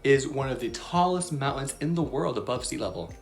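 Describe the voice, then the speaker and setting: A woman's voice: rumbling low, lively intonation, fairly quick. Bubbly woman hosting a kids' lesson. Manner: bubbly, chatty, many fillers and laughs. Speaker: children's Bible lesson host. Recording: soft background music.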